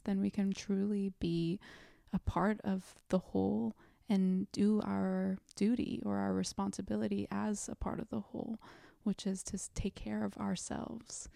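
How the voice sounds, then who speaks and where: A young woman from South Korea, speaking as an interview guest in a soft, thoughtful way with frequent pauses. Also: medium pitch, vocal fry, no echo, studio quality. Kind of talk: reflective interview talk.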